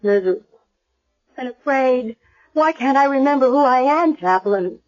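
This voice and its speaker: An actress playing a young amnesiac woman, unsure and fearful, with halting delivery in radio drama style. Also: sits high, animated. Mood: miserable, afraid. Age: young adult. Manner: hesitant, halting. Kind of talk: 1970s radio drama acting. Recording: dull vintage radio recording.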